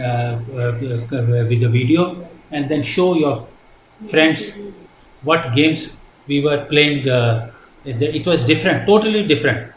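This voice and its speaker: An older man from India with an Indian accent, whose first language is Hindi, answering interview questions in a halting, earnest way with many fillers and restarts. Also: fairly high pitch. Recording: echoey room with faint noise.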